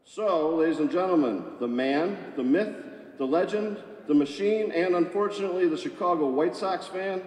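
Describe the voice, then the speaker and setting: Man booming an emcee introduction. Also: moderate pace, darkish tone. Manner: booming announcer-style introduction. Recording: echoey hall from far off.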